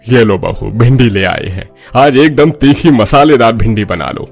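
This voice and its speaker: A man's voice: medium pitch, expressive, unhurried, creaky, soft background music. A man voicing a hearty, food-loving middle-aged father-in-law in a cartoon moral story. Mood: requesting.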